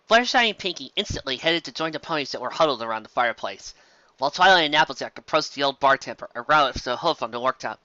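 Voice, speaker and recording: A man reading fantasy fiction aloud as an amateur storyteller, expressive, occasionally stumbling over words. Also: fairly high pitch, dry recording, highs cut off.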